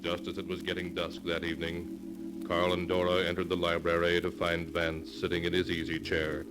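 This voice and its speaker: An actor playing the ominous narrator of a golden age radio thriller, reading scripted narration. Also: male voice, sits low, completely flat delivery, moderate pace, lightly acted. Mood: grave, ominous. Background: music.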